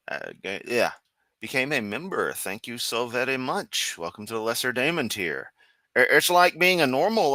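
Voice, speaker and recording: A man's voice: lowish voice, sharp tone, no echo. A man hosting a casual live stream, rambling and sarcastic while clicking around, with a sore throat. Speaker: livestream host.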